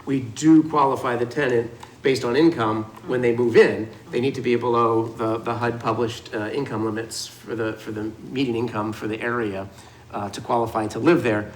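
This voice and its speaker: A man's voice: mid-range voice, fairly even intonation, moderate pace, slight background noise, rough recording. A man testifying at a city committee meeting, explaining housing project details in a patient, restarting way. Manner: explanatory, with frequent restarts and fillers. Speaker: housing developer presenting to a committee.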